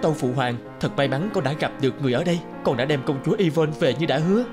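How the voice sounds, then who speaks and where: A man's voice: a little high, rapid-fire, over loud music, natural voice. A man voicing an earnest, polite young prince in a fairy-tale cartoon.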